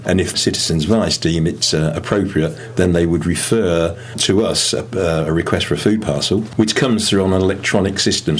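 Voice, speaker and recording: A man's voice: low voice, slight background noise. A man with a British accent giving a matter-of-fact, methodical explanation in an interview as a volunteer. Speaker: food bank volunteer, interviewee.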